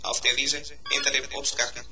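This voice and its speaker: A little robot, precise and friendly, speaks formally in a monotone with deliberate care. It comes from a children's audio play, heard through clear noise and clicks of an old record. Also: male voice, mid-range voice, rough recording. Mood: matter-of-fact, friendly. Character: small, polite, matter-of-fact robot, friendly and precise. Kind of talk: 1970s German children's audio play acting.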